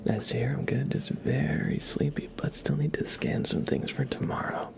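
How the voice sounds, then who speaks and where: A man hosts an ASMR live stream in a breathy whisper with a strong creaky edge. He speaks gently and informally to his viewers, often murmuring short phrases over again. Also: medium pitch, big pitch swings, normal speed.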